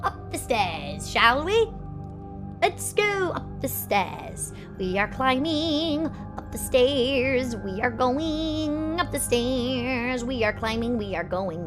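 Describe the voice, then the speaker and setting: A woman hosting a reaction video, chatting in a bubbly, rambling, playful way. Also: on the high side. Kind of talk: casual chat.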